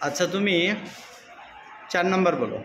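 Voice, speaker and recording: A woman's voice: on the low side, expressive, normal speed, gravelly. A woman with a Bangladeshi accent teaching a class interactively, encouraging pupils and prompting them with short questions. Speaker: school teacher. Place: indoor school classroom. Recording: echoey, noisy classroom recording.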